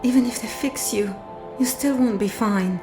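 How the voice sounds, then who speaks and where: An actress as an anxious young woman in a sci-fi audio drama. Her voice is high, earnest and insistent, with music clearly underneath. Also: moderate pace, lightly acted. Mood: worried, caring. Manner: earnest, pressing.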